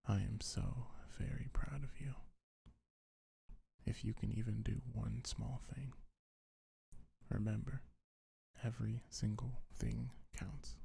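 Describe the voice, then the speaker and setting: A man speaking gentle, soothing ASMR affirmations in a breathy, husky half-whisper, unhurried and close to the microphone. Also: lowish voice, fairly even intonation. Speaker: ASMR creator. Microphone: close microphone, dry.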